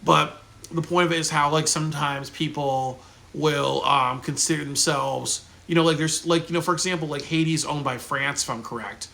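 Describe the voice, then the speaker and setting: A man's voice: on the high side, fairly even intonation, moderate pace, vocal fry, pushed and bright. A man giving a casual, rambling spoken review, full of fillers like "um" and "you know" and frequent restarts. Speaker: video reviewer.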